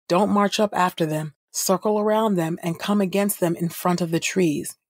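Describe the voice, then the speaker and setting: Female reader for audio Bible: lowish, husky voice, measured delivery, dry close sound. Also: darkish tone, no echo. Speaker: podcast host, scripture reader. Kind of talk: scripted audio Bible reading.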